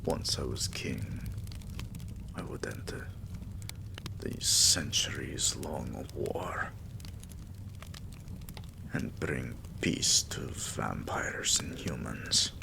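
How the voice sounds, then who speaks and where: A man playing a weary, tender vampire king in intimate roleplay ASMR, doting on a lover in a breathy, soothing whisper. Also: rumbling low, noisy background, heavily acted. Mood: wistful, troubled. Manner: slow, soothing, addressing a lover with pet names.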